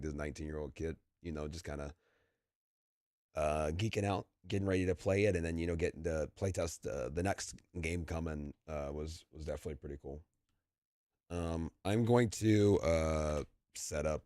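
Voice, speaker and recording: A young man with a deep, low voice, talking to his stream in a loose, rambling way. He stammers and leans on fillers as his voice grows tired, recorded dry and close with no room around him. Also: a little mellow, no echo. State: losing his voice, clearing his throat. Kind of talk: casual live-stream chat.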